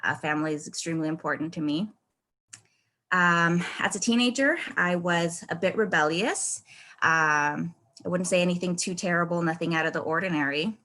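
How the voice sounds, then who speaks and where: A woman's voice: on the low side, moderate pace, sharp tone. A woman from California giving her first personal speech at an online club, friendly and candid with frequent ums. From Oceanside, California. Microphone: video call.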